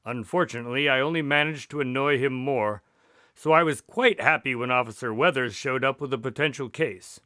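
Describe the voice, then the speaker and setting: A man's voice: medium pitch, a little fast, bright, forceful tone, no echo, studio quality, lightly acted. A man playing an affable doctor, a detective's sidekick who recounts cases politely in a radio drama. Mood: storytelling. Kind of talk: radio drama acting and storytelling.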